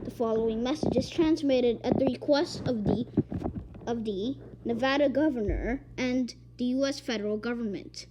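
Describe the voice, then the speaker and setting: A woman reading a made-up emergency alert, halting and stumbling over repeated words. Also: a little high, noisy background. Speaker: amateur emergency alert announcer. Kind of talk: improvised emergency broadcast reading.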